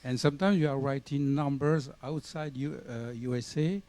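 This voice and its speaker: A man with a French accent hosts a studio music show, searching for his English words and filling gaps with "uh". His voice is dark and mellow, and the sound is dry and close. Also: medium pitch, no room sound. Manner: halting English with many fillers. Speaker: TV show host. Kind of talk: interviewing guest.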